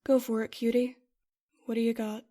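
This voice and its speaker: An earnest, self-doubting young pilot, acted by a woman in a space roleplay drama. Her voice sits a little high and stays fairly flat in melody, with worry and hesitation in it. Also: normal speed, lightly acted. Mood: pensive. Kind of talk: Minecraft roleplay web series voice acting.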